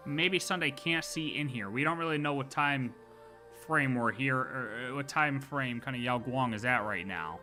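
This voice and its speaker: A man's voice: mid-range voice, moderate pace, sharp tone, faint music behind. A man streaming a game with casual live reaction commentary, informally thinking aloud.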